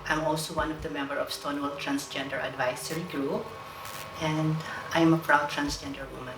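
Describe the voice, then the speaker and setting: A woman speaks low and deliberately, like someone choosing each word. The mic sits some way off in a roomy space. A clear background hum runs underneath. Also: fairly even intonation. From Philippines. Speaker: interviewee. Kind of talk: documentary interview. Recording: roomy, distant mic, noise.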